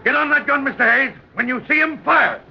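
An actor playing a hard, domineering wartime ship's captain, barking curt, sarcastic orders in an old radio drama. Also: male voice, extremely high pitch, fairly quick, gravelly. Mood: urgent commanding. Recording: muffled, noisy old radio recording.

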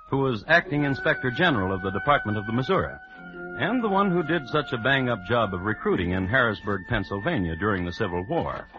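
A man hosting an old-time radio crime show, telling the story in a dry, deadpan, wry way. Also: mid-range voice. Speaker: host and narrator. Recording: dull vintage radio recording with music.

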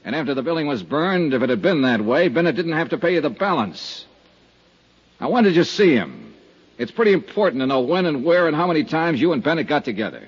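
A hard-nosed American private investigator, with a husky voice that moves up and down a lot. He presses bluntly and skeptically, as in a vintage radio detective serial, on a dull 1950s recording. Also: fairly high pitch, big pitch swings, fairly quick. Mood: skeptical, insistent.

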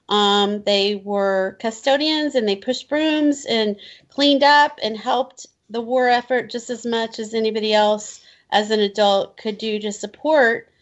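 Guest spot: Indiana woman in her fifties, talkative, fillers and laughs, bit higher pitch, slightly dull audio. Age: 50s. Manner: chatty, many um fillers and restarts, laughs often. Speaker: children's book author, guest. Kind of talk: casual interview chat.

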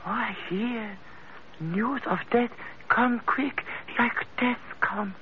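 Wary, high-voiced Chinese woman, old radio. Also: slow. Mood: guarded. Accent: put-on Chinese. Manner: clipped broken English, terse. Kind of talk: old-time radio drama acting. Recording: muffled, noisy old radio recording.

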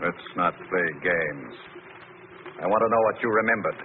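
An actor playing a suave, threatening crook with measured, coolly polite delivery in an old radio thriller. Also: male voice, sits low, natural voice. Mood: cold, threatening. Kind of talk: 1940s radio thriller acting. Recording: narrow, hissy old radio recording.

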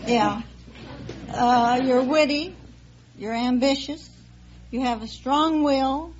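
A woman's voice: high-pitched, slow pace. A woman, a quiz show contestant, giving short matter-of-fact answers on an old radio show. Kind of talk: brief, simple answers in an interview. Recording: muffled vintage radio recording.

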